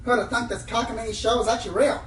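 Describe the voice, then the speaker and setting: Woman acting a brash, fast-talking pony star reporter. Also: on the high side, fairly even intonation, echoey room, recorded from a distance, a little hiss or hum, natural voice. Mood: cocky, condescending. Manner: pompous, fast-talking, dismissive. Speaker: voice actor in an audio drama. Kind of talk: fan radio play acting.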